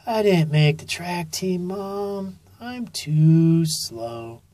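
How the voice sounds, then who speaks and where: A woman playing a young boy sloth in a storybook, easily discouraged, with drawn-out, plaintive words. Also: lowish voice, expressive, dark tone. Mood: dejected.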